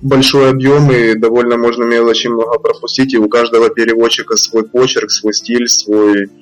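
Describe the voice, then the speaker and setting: A man, a freelance translator, answering podcast interview questions in a measured, explanatory way with fillers and restarts. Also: mid-range voice, little pitch movement, faint music behind, rough recording.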